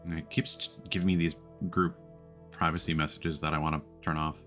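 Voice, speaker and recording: A man's voice: extremely low pitch, flat delivery, a little fast, fairly bright tone, soft background music. A man giving casual live gameplay commentary as a streamer, rambling and restarting his sentences mid-thought.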